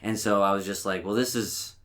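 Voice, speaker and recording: A man co-hosting a podcast in casual banter, with a halting, mock-serious way of telling things. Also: fairly low pitch, fairly even intonation, fairly bright tone. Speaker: podcast co-host.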